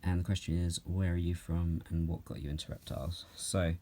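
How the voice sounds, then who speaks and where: A young man from Norway, answering viewer questions about his reptiles at home in a calm, unhurried way, with many ums and soft laughs. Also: low-pitched. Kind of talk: relaxed Q&A vlog. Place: at home, handling snakes while filming.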